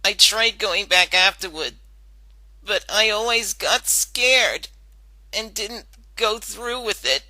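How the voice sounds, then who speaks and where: A woman's voice: medium pitch, lively intonation, slow pace, sharp tone, no echo, full character voice, poor audio. A woman voicing a scared, reluctant young female pony in a dramatic story reading, speaking hesitantly with stammers. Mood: anxious, reluctant. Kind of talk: dramatic fan-fiction reading.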